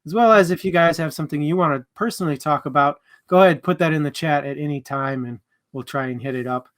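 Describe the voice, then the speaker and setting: Higher-voiced man chatting on a stream. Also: fast talker, a bit bright. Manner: informal, friendly to viewers. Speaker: co-host of a book discussion stream. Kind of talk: casual live stream chat.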